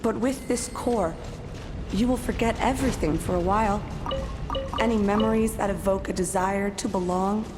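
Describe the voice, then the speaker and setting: A woman's voice: medium pitch, normal speed, over music, close to an everyday voice. A woman playing a grave, cautious female character in a dramatic fantasy video game. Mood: solemn, cautious.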